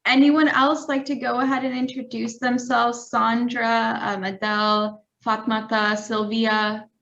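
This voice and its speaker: A woman's voice: a little high, level tone, measured pace, vocal fry, fairly bright tone. A woman moderating a video call discussion, friendly and encouraging. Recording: dull lo-fi call audio.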